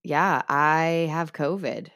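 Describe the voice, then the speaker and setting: Hoarse, low-voiced woman chatting. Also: steady tone, slow pace, fairly bright tone. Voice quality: hoarse, tired. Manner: chatty, laughs often. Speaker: podcast co-host. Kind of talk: casual chat.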